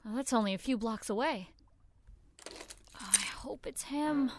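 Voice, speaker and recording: An actress playing an earnest young woman in an audio drama, urgent and expressive as she searches on behalf of her grandfather. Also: fairly high pitch, measured pace, noisy background, natural voice. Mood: anxious, hopeful. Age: young adult. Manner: talks to herself, gasping, urgent pleading.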